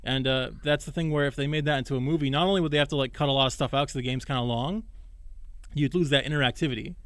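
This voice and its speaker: A man's voice: mid-range voice, fast, fairly bright tone, dry recording. A young man hosting a casual chat, explaining his opinions with frequent restarts. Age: young adult. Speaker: podcast host on college radio. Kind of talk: casual chat about games and films. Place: college radio studio.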